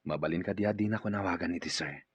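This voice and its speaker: Low husky actor as lovestruck boss. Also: male voice, fast, lo-fi sound. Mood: gently insistent. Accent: Ilocano. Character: smitten company boss, playful and earnest male suitor. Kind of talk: Ilocano radio drama acting.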